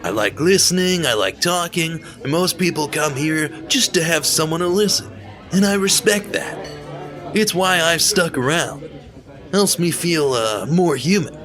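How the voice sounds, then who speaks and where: An actor playing a friendly, talkative young bartender with a laid-back manner, in an audio drama with music underneath. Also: male voice, fairly high pitch, lively intonation, a little slow. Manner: laid-back, talkative, says bro a lot. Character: friendly chatty male bartender who calls everyone bro.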